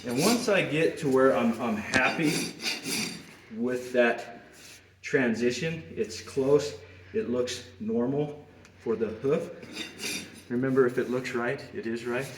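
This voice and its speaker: A middle-aged man gives a patient, hands-on farrier lesson, talking while bent over a hoof. His voice sits at an ordinary pitch and carries the echo of a large barn. A faint background hiss runs under it. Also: slow, long pauses. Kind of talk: hands-on trimming demonstration. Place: roomy barn with concrete floor. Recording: echoey barn.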